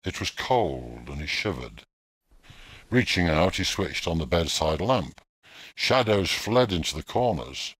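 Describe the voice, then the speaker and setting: A man tells a scripted ghost story with theatrical suspense. His delivery is measured and very varied in pitch, recorded dry and close. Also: sing-song, fairly bright tone, breathy edge, no room sound.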